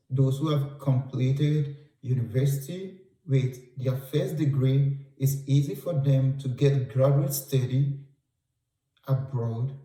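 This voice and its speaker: A man's voice: mid-range voice, warm tone. A man from Ghana with a Ghanaian English accent, giving travel advice to camera and repeating key points for emphasis. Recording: roomy sound, distant from mic.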